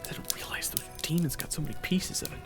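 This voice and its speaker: A man performing a first-person audio roleplay, narrating and talking to the listener, often stammering and flustered, sometimes whispering and sometimes yelling. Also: quick pace. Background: video game soundtrack music.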